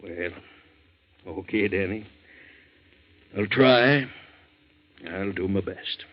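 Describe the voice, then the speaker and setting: Easygoing male skipper, low and unhurried, folksy and loyal, muffled vintage radio drama. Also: exaggerated voice. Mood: modest, warm. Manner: hesitant, folksy, drawn-out delivery. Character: kindly, easygoing boat captain, loyal and humble. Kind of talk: 1940s radio drama acting. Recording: dull 1940s radio recording.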